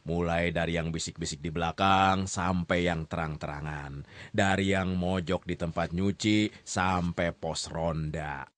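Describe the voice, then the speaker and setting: Storyteller guy in radio serial, low dry voice, chatty narrating, bit of teasing. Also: fairly even intonation, measured pace, no echo. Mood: storytelling. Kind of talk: conversational radio drama narration.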